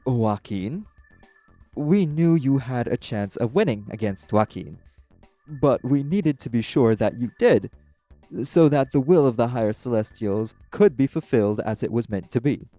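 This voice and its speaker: A man's voice: mid-range voice, animated, dark tone, dry recording, faint music behind, natural voice. A man playing a polite, earnest guardian angel who speaks formally and diplomatically in an audio roleplay. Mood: gently explaining. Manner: formal, courteous, measured explaining.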